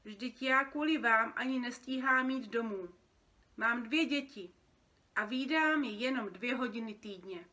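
A woman's voice: on the high side, a bit bright, natural voice. A woman voicing a nervous, overworked feathered dream-being in a storybook, agitated and plaintive. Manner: agitated, complaining, stammering when shocked. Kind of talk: storybook character reading.

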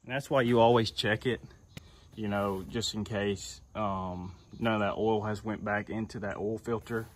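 A man's voice: on the low side, fairly even intonation, moderate pace. A young man casually explaining, step by step, how he changes the oil on a mower while he works outdoors. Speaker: landscaper. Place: outdoors in a yard.

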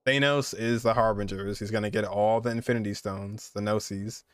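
A man's voice: on the low side, steady tone, moderate pace, clean tone, no room sound, clean studio sound. A man in his twenties streaming a game, giving informal, slangy live reactions and commentary. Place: indoor streaming setup, game audio behind.

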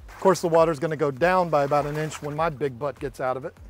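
A man's voice: fairly high pitch, quick pace, faint music behind. A man co-hosting a vlog, chatting casually and playfully outdoors, picked up by a camera mic. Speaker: pond rescue vlog co-host. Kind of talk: casual chat while working. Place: outdoors at a garden pond.

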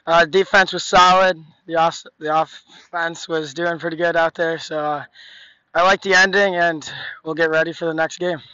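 A teenage boy, a high school lacrosse player, chatting cheerfully and casually after a game outdoors at night with a handheld mic. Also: flat delivery, moderate pace, bright, forceful tone, clean tone, no echo, highs cut off. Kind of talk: post-game interview. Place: outdoor sports field at night.

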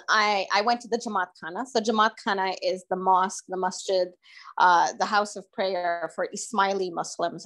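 A woman's voice: mid-range voice, fairly bright tone. A middle-aged woman speaks over a video call from her kitchen, her voice sitting in an ordinary middle register. She talks loosely and conversationally, piling in fillers and restarting sentences as she explains her project. The sound comes from a camera mic a short distance away in a home kitchen. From Tanzania. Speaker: oral history researcher presenting her project. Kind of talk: informal presentation to a group.

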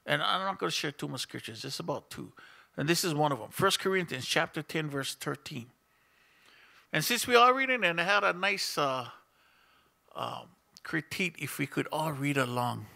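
Gravelly, bright Hawaiian-tinged pastor. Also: male voice, on the high side, animated, normal speed. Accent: Hawaiian Pidgin-tinged English. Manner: conversational preaching, asks the congregation questions. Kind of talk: sermon. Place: small church room with instruments. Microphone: stand mic in front of him, seated.

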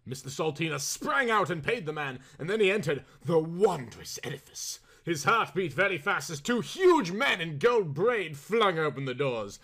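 A man reading a storybook aloud in a theatrical, expressive way. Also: fairly high pitch, normal speed, hardly any pauses. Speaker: audiobook reader. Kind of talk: dramatized storybook narration.

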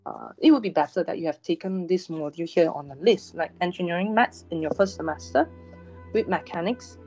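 A woman, a university lecturer giving a chatty online class briefing, her voice slightly hoarse after a sore throat, with frequent fillers and "all right" checks. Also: lowish voice, fairly quick, a little mellow, faint music behind. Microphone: video call webcam. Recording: dull lo-fi online class recording.

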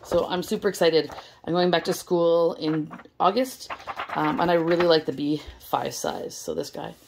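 A young woman, hoarse from losing her voice, chatting excitedly with lots of fillers while unboxing things at a table. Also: deep voice, moderate pace, noisy background. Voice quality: gravelly, strained. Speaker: stationery vlogger. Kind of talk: casual unboxing vlog. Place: indoors at a table, filming overhead. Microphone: camera held close over the table.

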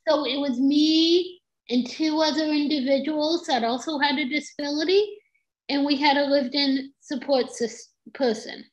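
A woman's voice: high-pitched, steady tone, normal speed, rough recording. A woman panelist chatting eagerly on a video call. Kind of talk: casual video call chat.

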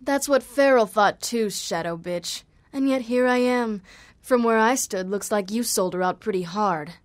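An actress playing a cocky, sardonic half-vampire heroine in a video game, tossing out sarcastic taunts. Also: female voice, a little high, a little slow, lightly acted. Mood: taunting. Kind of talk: video game cutscene acting.

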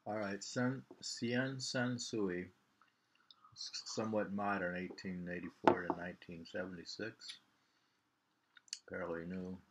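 Older man, sixties, informal poetry reader and commentator, low-pitched and halting, faint noise behind. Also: fairly even intonation. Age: 60s. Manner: halting, repeats lines and names. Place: small living room at home.

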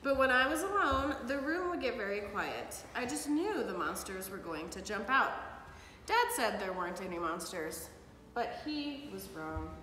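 A middle-aged woman reading a picture book aloud to children with expressive, animated storytelling, recorded in a living room by a camera a few feet away. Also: medium pitch, moderate pace. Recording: echoey hard-walled room, some background noise.

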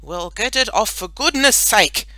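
A woman's voice: medium pitch, expressive, a little slow, bright, forceful tone, dry recording, voice pushed up. A woman voicing an exasperated, thrifty farmer father in a storybook.

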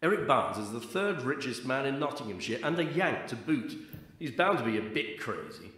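Very echoey, man acting as stern male scientist, curt, impatient, animated pitch, radio drama. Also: moderate pace. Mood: irritated. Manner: brusque, curt. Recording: echoing, cave-like reverberant sound.

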